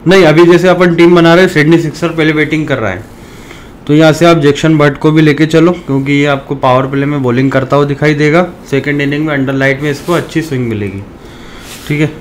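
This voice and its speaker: A hoarse man from India, sick with a sore throat, chatting to his viewers in a fairly high voice. He calls them brothers and rants loudly at times. Faint noise hums under the recording. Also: steady tone, fast talker. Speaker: fantasy cricket YouTuber. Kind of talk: casual talk to viewers.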